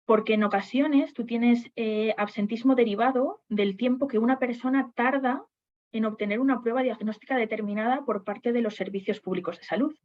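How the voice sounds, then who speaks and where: A woman's voice: a little high, normal speed, muffled recording. A woman on a webinar panel discussing HR topics, speaking hesitantly with frequent restarts and fillers.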